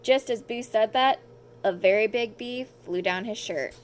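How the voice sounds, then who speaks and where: Female storybook narrator, scripted children's reading, fairly high pitch. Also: unhurried, slight background noise. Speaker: storybook reader.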